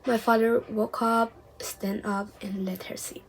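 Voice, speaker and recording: A young woman vlogging, telling a personal story casually, hesitant and full of restarts, dropping into soft whispered asides. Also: a little high, level tone, measured pace, slightly rough.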